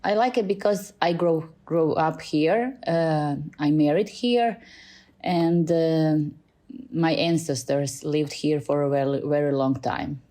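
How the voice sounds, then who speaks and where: A woman's voice: low-pitched, takes it slowly, warm tone. A middle-aged Croatian woman with a Croatian accent, a school librarian answering podcast questions in English with many fillers and restarts, recorded in a school library. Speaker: school librarian and Italian teacher, guest. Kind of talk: podcast interview.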